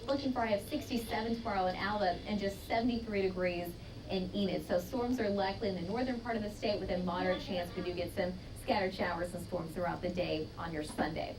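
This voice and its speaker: Fast-talking American weather woman, noisy feed. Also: medium pitch, fairly even intonation. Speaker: TV weather presenter. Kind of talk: live weather forecast. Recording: clearly noisy, distant broadcast control-room feed.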